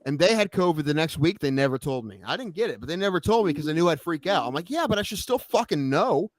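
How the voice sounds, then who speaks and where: A man's voice: on the high side, big pitch swings, fast, dry recording. A man co-hosting a podcast in casual chat, rambling and swearing, a little sniffly. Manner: profane, rambling, worried. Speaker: podcast co-host.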